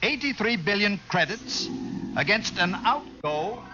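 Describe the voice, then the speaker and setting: Pompous, animated outgoing overlord. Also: male voice, sits high, unhurried, over music. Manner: speechifying, breezily patronizing. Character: pompous outgoing overlord, genial, businesslike politician. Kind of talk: 1950s radio drama acting. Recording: muffled vintage radio recording, faint noise.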